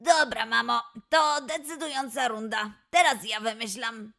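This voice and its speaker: Woman acting a young male lion cub, children's audio play, bright forceful tone, slow delivery, playful, excitable. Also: on the high side, creaky, full character voice. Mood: determined.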